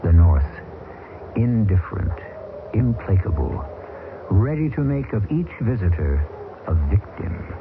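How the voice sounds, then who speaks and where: A man hosting and narrating a radio drama, grave, ominous and measured. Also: on the low side, sing-song, background noise. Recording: tinny old radio broadcast with music.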